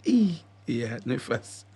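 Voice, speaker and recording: Male podcast host, husky and slightly high voice, conversational and probing. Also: wildly swooping pitch. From Mozambique. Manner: conversational, probing, quick follow-up questions. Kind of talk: casual interview.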